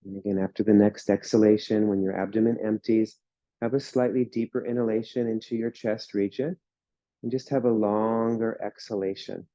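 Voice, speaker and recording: A man guiding a yoga class through slow breathing and relaxation, speaking in calm, soothing instructions. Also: on the low side, no echo, muffled recording. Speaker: yoga teacher.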